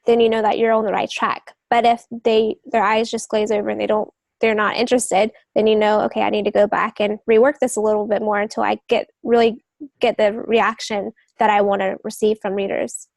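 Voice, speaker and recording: A woman's voice: mid-range voice, flat delivery, fairly quick, vocal fry, dry recording. A woman giving advice in a casual podcast chat, talking informally in loosely strung thoughts. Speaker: literary agent and novelist giving advice.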